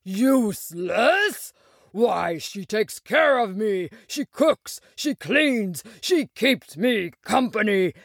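A woman voicing a big, jolly green male giant in a storybook tale, gravelly, teasing and theatrical. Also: mid-range voice, expressive, no room sound, studio quality, pitched above the performer's own voice, heavily acted. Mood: indignant. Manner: slow, drawn-out, theatrical delivery.

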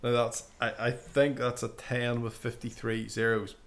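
A man's voice: medium pitch, completely flat delivery, normal speed. A man co-hosting a podcast, explaining ideas and agreeing often in casual chat. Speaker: podcast co-host. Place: podcast studio.

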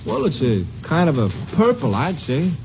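A man's voice: on the high side, very animated, moderate pace, music in the background. An American man playing a nervous, folksy small-town linotype operator in a 1950s radio western, speaking hesitantly. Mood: sheepish, eager. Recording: dull old 1950s radio recording.

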